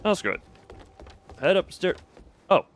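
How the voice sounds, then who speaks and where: A man's voice: sits high, big pitch swings, measured pace, faint music behind. A man doing casual live game commentary as a streamer, reading text aloud and adding wry, muttered asides.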